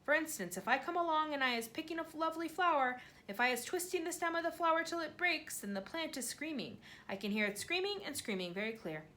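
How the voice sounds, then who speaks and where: A woman doing the BFG, a gentle earnest giant. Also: high-pitched, lively intonation, fast, hardly any pauses, a little hiss or hum, voice pushed up. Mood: wondering. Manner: jumbled grammar, made-up words. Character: huge gentle male giant, earnest and wondering.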